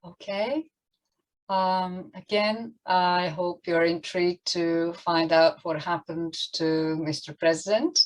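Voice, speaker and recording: A woman hosts an online event. Her voice is a little low for a woman. She talks very slowly and haltingly as she moderates. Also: fairly even intonation, rough recording. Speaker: event host.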